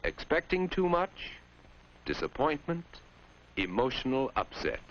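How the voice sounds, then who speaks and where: A man's voice: medium pitch, sing-song, a little slow. A man playing a knowing, probing narrator of a 1950s educational film, pointed and didactic, addressing the character directly. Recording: muffled 1950s film soundtrack.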